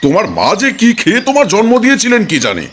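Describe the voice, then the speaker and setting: A man's voice: sits high, huge pitch swings, racing, a bit bright, a little hiss or hum, exaggerated voice. A man playing a worried, testy old village father-in-law in a folk-tale cartoon, fussy and exasperated. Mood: irritated scolding.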